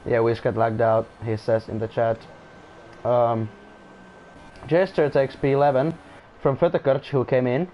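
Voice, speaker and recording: A man's voice: medium pitch, flat delivery, normal speed, long pauses, highs cut off, slight background noise. A man who is not a native English speaker commentating casually on an online race, often restarting sentences and apologizing. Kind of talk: casual live sim-racing commentary.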